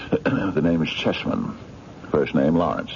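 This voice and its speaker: A man's voice: very deep voice, big pitch swings, slow, creaky, full character voice. An actor in a radio mystery drama playing an eccentric, courtly man of mystery, formal, hesitant and coaxing. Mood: courtly, sly. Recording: dull, noisy 1970s radio drama recording.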